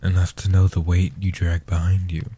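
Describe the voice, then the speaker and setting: A man playing a centuries-old guardian vampire, gentle and protective, speaking softly and huskily in hushed, slow phrases for a comfort roleplay audio. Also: low-pitched, completely flat delivery, a little hiss or hum, close to an everyday voice. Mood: gentle, reassuring. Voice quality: soft-spoken, husky. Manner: slow, hushed delivery with long pauses.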